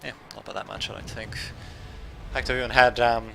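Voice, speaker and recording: A man's voice: lowish voice, fairly even intonation, moderate pace, creaky, a bit bright, soft background music, low-quality recording. A man from Serbia, raised in Australia, with a light Australian accent, giving rambling casual commentary over a strategy game and chatting to his viewers. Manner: rambling, self-correcting, chatty asides to viewers. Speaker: gameplay commentator.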